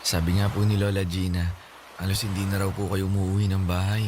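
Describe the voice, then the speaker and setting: An actor with a Filipino accent playing a gentle, brave, sickly young son in a radio drama, speaking respectfully and tenderly. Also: male voice, sits low, flat delivery, a little fast, close to an everyday voice. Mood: concerned, tender. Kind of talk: Tagalog radio drama acting. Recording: clearly noisy ambient background.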